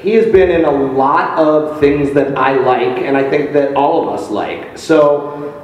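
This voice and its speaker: A man moderating a convention panel, upbeat and joking, asking quick questions. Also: mid-range voice, a little mellow. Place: large convention hall. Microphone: handheld push-button mic from far. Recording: roomy hall audio from far off.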